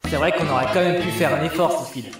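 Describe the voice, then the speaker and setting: A distant, heavily echoing recording carries a man with a slightly high voice. He grumbles casually in a comic aside, music clearly behind. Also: fast.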